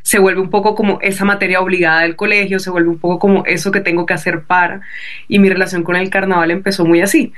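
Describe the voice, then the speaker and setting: A woman's voice: lowish voice, flat delivery, fast, a bit bright. A woman with a Caribbean Colombian accent, talking casually as an interview guest, explaining things discursively with frequent tag questions. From Barranquilla, Caribbean coast of Colombia. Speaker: guest novelist.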